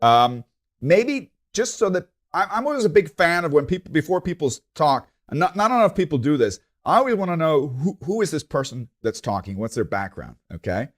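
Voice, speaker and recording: A man's voice: a little high, very animated, brisk. A man hosting his own podcast, rambling and hesitant, with many fillers and restarts. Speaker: podcast host. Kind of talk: casual interview hosting.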